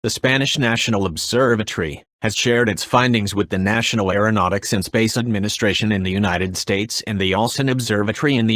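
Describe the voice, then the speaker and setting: A man with a fairly low voice reads an emergency broadcast. The sound is very dry, and his scripted delivery is formal and impersonal. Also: fairly bright tone, no echo. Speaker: emergency alert announcer.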